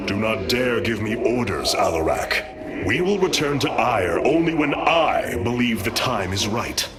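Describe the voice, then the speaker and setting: An actor playing a proud, resolute alien commander in a video game, speaking formally and with solemn authority. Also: male voice, fairly low pitch, big pitch swings, measured pace. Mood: stern. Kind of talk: video game cinematic acting. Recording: loud music behind the voice.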